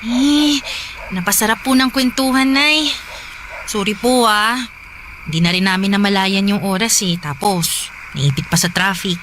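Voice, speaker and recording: An actress playing a dutiful, respectful grown child in a Filipino radio drama, polite and soft-spoken. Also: female voice, medium pitch, measured pace, background noise, natural voice. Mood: apologetic. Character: dutiful, respectful, concerned child talking to mother. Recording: music behind.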